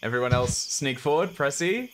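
A young man talks as a player in a tabletop roleplaying game, planning casually over a video call. His voice sits at an average male pitch. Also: lively intonation, moderate pace, a loud crowd. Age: young adult. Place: small room at home. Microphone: video call setup.

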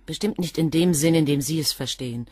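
Guarded, husky, coolly defiant assistant. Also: female voice, sits low, a little fast, dark tone, no echo, studio quality. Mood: guarded, devoted. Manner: precise, cool, clipped answers. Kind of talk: German crime radio play dialogue.

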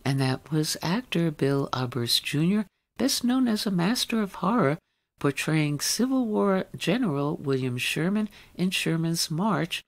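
A woman hosts a radio arts program. She reads scripted introductions and links in the manner of a broadcast presenter. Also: sits low. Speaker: radio show host.

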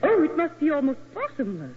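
High, excitable lady tourist. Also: brisk. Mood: impressed. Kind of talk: 1940s radio acting. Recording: noisy, tinny old radio recording.

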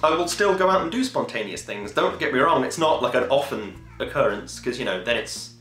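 A man's voice: mid-range voice, expressive, a little fast, fairly bright tone, roomy sound, soft background music. A man in his twenties chatting casually to camera in a rambling, enthusiastic way, swearing now and then. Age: 20s. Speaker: podcast host. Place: small home room, indoors.

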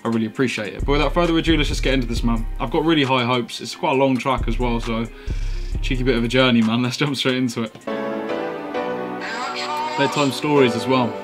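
Young guy doing a music reaction, talks fast, chatty and slangy, bit of music in the back. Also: mid-range voice, steady tone. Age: young adult. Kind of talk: casual reaction commentary. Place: small bedroom indoors.

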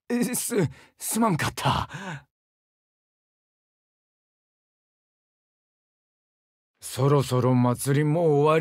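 A warm-hearted, cocky young seaman from a mobile game, the eager big-brother type. He speaks a rustic southern Japanese dialect in a gravelly, raised voice. His delivery is boisterous and hugely varied, moving between bashful murmurs and hearty shouts. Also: a little high, dry recording, clean studio sound, full character voice. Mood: bashful, subdued. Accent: southern Japanese dialect, Kagoshima-like.

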